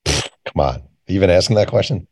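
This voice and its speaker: A man with a fairly low voice, recorded dry with no room around it. He talks like a writer being interviewed, candid and colloquial, leaning on repetition to make his point. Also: fast, husky, dry recording. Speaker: author and journalist guest. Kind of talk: casual conversational interview.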